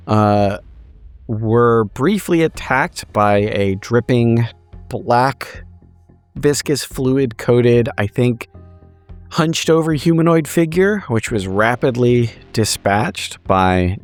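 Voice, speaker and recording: A man's voice: lowish voice, a little mellow, smooth. A man who plays in a tabletop game, giving a conversational story recap with wry, literary and profane wording.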